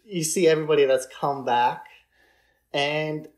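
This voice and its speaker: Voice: mid-range voice, fairly even intonation, a little slow. A podcast co-host in a casual film discussion, conversational and often chuckling.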